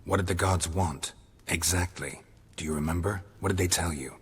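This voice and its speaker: An actor playing a stoic, weathered monster hunter in a video game, terse and deadpan. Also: male voice, low-pitched, moderate pace, a little hiss or hum, natural voice. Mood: probing. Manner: terse, deadpan, matter-of-fact. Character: stoic, weathered male monster hunter, methodical and world-weary. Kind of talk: video game cutscene acting. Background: loud music.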